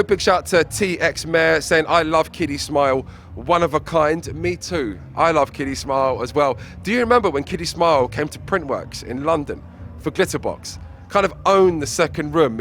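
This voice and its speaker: A man's voice: on the high side, fairly bright tone, slight background noise. Chatty male festival show host. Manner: chatty, reads out viewer messages. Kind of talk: casual festival show presenting.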